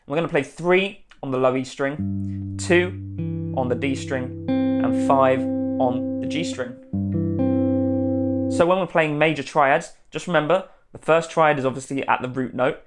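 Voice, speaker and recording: A man presenting an instructional guitar tutorial, spelling out each step clearly as the teacher and host. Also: on the high side, measured pace, pauses often. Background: guitar playing.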